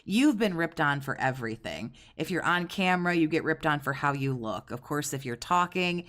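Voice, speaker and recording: Brief: low-voiced woman streamer, sarcastic and profane, mocking commentary on a desk mic. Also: expressive, moderate pace, a bit bright. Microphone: desk USB condenser mic with pop filter.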